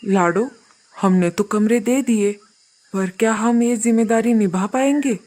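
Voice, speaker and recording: A woman's voice: on the high side, unhurried, dark tone. Woman voicing an earnest, courteous cartoon crow hotelkeeper. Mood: worried. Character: worried, polite male crow running a jungle hotel. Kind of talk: children's cartoon story dialogue.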